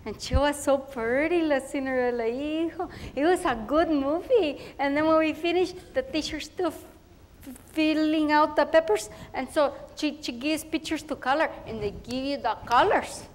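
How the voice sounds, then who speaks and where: A woman voicing a young Mexican-American girl on her first day of school, chatty and halting, mixing Spanish words into her English and speaking with a Mexican Spanish accent. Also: sits high, long reverb tail, lightly acted. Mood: eager.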